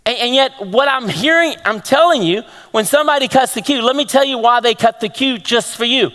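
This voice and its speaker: High-voiced Texan pastor preaching. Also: male voice, fairly quick. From Texas. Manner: animated, rhetorical questions to the congregation. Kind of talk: sermon. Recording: long hall reverb.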